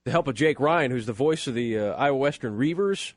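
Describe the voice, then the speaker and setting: A man's voice: mid-range voice, animated, brisk, no echo, studio quality. A man hosting a sports radio show in casual banter. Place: dry radio studio.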